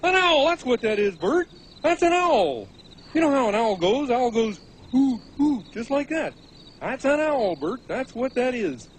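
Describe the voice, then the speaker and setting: Eager chatty puppet kid, really high, swoopy, crickets and frogs noisy behind. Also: male voice, big pitch swings, measured pace, darkish tone, heavily acted. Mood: eager, curious. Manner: eager, playful, inquisitive. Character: chatty, eager, pestering puppet boy camper. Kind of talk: puppet comedy sketch.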